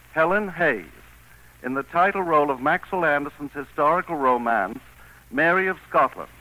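A man's voice: on the high side, pushed and bright, poor audio. A man giving a formal, scripted address as a theater company spokesman on 1940s radio. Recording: vintage 1940s radio broadcast.

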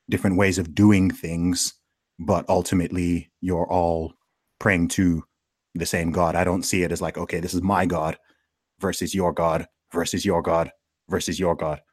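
A man's voice: low voice, flat delivery, moderate pace, breathy edge, no echo, studio quality. A man in casual conversation, talking earnestly with many restarts, who grew up in Saudi Arabia.

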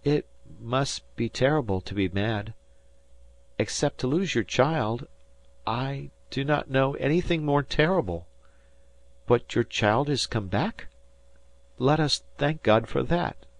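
A man voicing a big, gentle millionaire father who speaks tenderly. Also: medium pitch, lively intonation, slow, pitched above the performer's own voice. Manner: tender and earnest.

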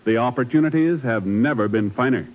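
A man's voice: mid-range voice, a little fast. A man delivering scripted announcements and narration as a 1950s radio announcer, formal and earnest. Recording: dull vintage radio recording.

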